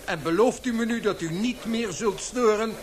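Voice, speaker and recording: Man acting stern male chairman, high voice, formal, measured, menacing, radio play, clearly noisy sound. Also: lightly acted. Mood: stern, threatening.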